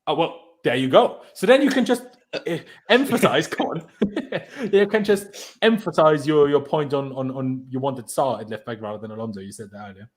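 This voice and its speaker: A chatty man from Austria whose first language is German hosts a relaxed football talk show. His voice sits a bit high for a man, and he breaks into laughter often as he talks. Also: moderate pace. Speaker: host. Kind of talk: casual football podcast chat.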